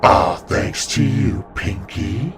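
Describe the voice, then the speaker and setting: A man's voice: medium pitch, sing-song, takes it slowly, over loud music. A man playing an evil ancient winged serpent spirit in a cartoon comic dub, gloating and mocking with theatrical delivery. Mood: gloating, sinister.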